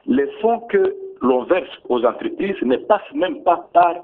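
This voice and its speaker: A man's voice: on the high side, lively intonation, unhurried. A man from DR Congo with a Congolese accent, speaking as a government minister in a radio interview, insistent and emphatic, repeating his points. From Bunia, DR Congo. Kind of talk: defensive radio interview answers. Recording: tinny phone-like radio sound.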